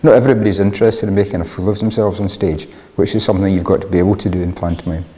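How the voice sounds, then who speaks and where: A man's voice: low-pitched, steady tone, very fast, warm tone. A man explaining things in an interview as a member of a drama club. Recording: reverberant hall.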